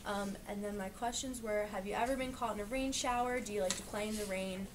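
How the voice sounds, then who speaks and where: A woman reading a picture book aloud to young children, pausing to explain and point things out. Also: medium pitch, fairly even intonation, background noise. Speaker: reader teaching young children.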